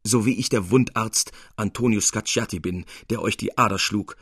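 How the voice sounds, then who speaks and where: Man as fervent young surgeon, low and gravelly. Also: moderate pace, fairly bright tone, no room sound, studio quality. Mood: earnest, proud. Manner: fervent, reverent, formal old-fashioned speech. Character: earnest, adoring young surgeon who loves painting.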